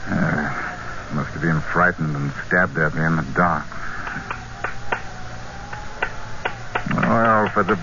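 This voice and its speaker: A man's voice: extremely low pitch, measured pace. An actor playing an anxious, practical husband and father in an old radio drama, dramatic and intense when narrating, hesitant in conversation. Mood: shaken, hushed. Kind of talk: old-time radio drama acting with first-person narration. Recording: muffled, very noisy old radio recording.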